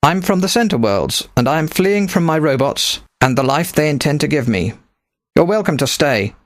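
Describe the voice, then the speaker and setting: A man's voice: on the high side, animated, normal speed, dry recording, studio quality, pitched above the performer's own voice, close to an everyday voice. A man playing a polite, naive male adventurer, eager and earnest, in a story reading. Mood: polite, breezy. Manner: courteous, earnest, breezy.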